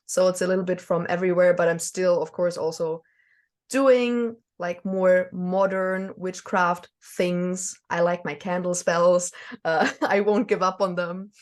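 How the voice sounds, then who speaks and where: German-accented woman, halting and laughing softly. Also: on the low side, steady tone. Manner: hesitant, many fillers and soft laughs. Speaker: podcast guest. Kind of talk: casual conversation.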